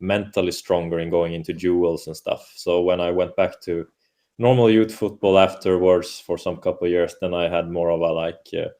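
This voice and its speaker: A man's voice: low-pitched, fairly even intonation, normal speed, warm tone. A man from Finland whose first language is Swedish, a football coach answering interview questions in a hesitant way, with many fillers and restarts. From Åland, Finland. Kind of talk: casual interview conversation.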